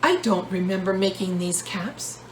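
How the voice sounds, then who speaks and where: A woman's voice: medium pitch, animated, measured pace, slight background noise, natural voice. A woman reading the part of a kindly, puzzled male cap peddler in a children's storybook. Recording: roomy echo, loud guitar music.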